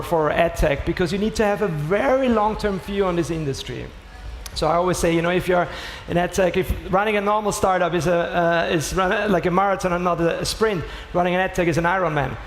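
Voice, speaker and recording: High-voiced Austrian man on a panel. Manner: lively storytelling, uses sports comparisons. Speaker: startup co-founder on a panel. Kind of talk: conference panel discussion. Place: large conference hall. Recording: echoey hall with faint noise.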